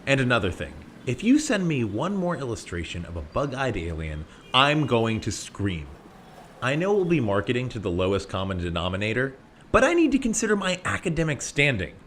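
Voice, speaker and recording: A man's voice: mid-range voice, huge pitch swings, fairly quick, fairly bright tone, slight background noise. A man playing an arrogant, short-tempered scientist in an audio drama, sarcastic and belittling in manner. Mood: irritated, scolding.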